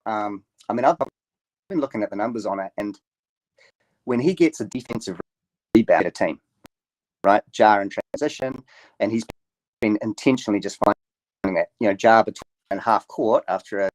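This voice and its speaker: A man guesting on a sports podcast over a remote call, rambling and halting as he reels off stats. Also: lowish voice, no echo, rough recording. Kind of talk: casual sports podcast chat. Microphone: remote call mic.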